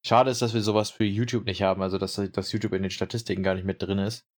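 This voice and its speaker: Lowish rambling young man, casual chat. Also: level tone, quick pace. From Brandenburg, Germany. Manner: rambling, hesitant, many fillers. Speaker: podcast co-host.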